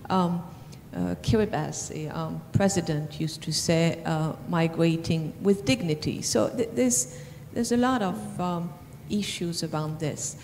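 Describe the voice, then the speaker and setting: French-accented woman on a panel, dark mellow voice, some hall noise behind. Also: lowish voice. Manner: measured, with frequent ums and restarts. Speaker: panelist from a regional organization. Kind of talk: conference panel answer. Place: large conference hall. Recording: distant hall reverb, faint noise.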